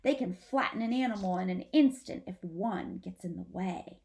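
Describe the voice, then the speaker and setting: A woman's voice: mid-range voice, normal speed, creaky, a little mellow, lightly acted. A woman voicing a mother possum in a children's storybook, warning and teaching her babies in an emphatic, instructive way. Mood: grave warning.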